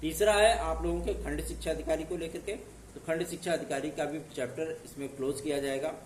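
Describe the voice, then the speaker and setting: Hoarse man, slightly high voice, earnest and reassuring, asks listeners if they follow, distant from the mic, echoey room, faint background noise. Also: steady tone, fairly quick. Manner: earnest, reassuring, with repeated tag questions. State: hoarse from a sore throat. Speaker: exam news channel host. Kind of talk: casual direct-to-camera update.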